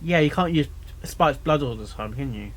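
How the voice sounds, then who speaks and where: A man's voice: mid-range voice, animated, moderate pace. A man giving casual, off-the-cuff reaction commentary in short, hesitant asides, often repeating himself. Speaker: reaction host.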